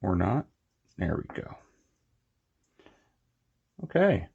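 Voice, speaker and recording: A man's voice: low-pitched, big pitch swings, drawn-out words, vocal fry, a little mellow, breathy edge, dull audio. A man giving a casual, unscripted hands-on demonstration as a hobby reviewer, with informal muttered asides. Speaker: toy collector and reviewer.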